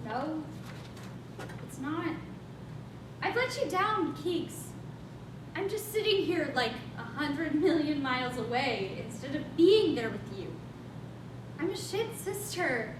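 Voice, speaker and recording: A woman in a staged play reading, playing an excitable, childlike, stubbornly cheerful sister, with a playful, insistent delivery. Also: slow pace, pauses often. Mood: guilty, downcast. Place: stage reading in a roomy venue. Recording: echoey room from far off, noisy rumble.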